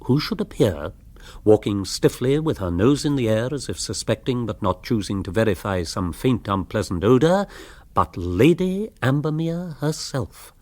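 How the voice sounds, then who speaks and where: An actor playing a wry, urbane, gently mocking author who narrates a comic radio drama in an English accent. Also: male voice, medium pitch, expressive, moderate pace, no echo, natural voice.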